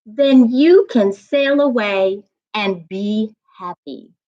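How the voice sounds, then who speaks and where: A woman voicing a loving, self-sacrificing female tree in a storybook, gentle and soft-spoken. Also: medium pitch, slow, muffled recording, natural voice. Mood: tender, giving.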